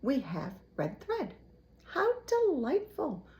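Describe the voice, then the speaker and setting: Woman voicing a gloating, theatrical villain rat, swooping high. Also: wildly swooping pitch, warm tone, heavily acted. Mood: gloating, savoring. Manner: theatrical, savoring, drawn-out words. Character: gloating one-eared villain rat, falsely welcoming, sniffing.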